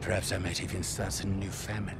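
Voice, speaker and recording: An actor playing an arrogant, scheming Nazi officer in a video game cutscene, with a haughty, condescending, deliberate delivery. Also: male voice, sits low, flat delivery, gravelly, heavy background noise. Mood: smug.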